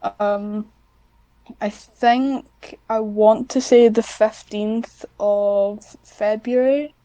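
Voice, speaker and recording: A woman's voice: medium pitch, fairly even intonation, drawn-out words, warm tone, clean tone, no room sound, highs cut off. A woman chatting casually as an interview guest, informal and self-deprecating. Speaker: podcast guest, amateur script writer.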